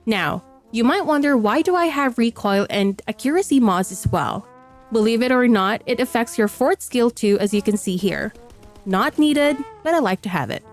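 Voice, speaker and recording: A woman's voice: on the high side, expressive, normal speed, soft background music. A woman with a stuffy voice from a cold, explaining a game build in a matter-of-fact voice-over. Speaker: game guide creator.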